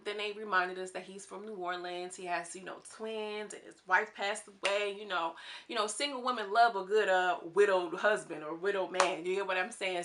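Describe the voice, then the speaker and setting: A woman from Houston with a twang, talking fast and chatting casually as she reviews a reality dating show, acting out quotes in a higher voice. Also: sharp tone. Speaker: YouTube reality TV commentator. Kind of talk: casual commentary monologue.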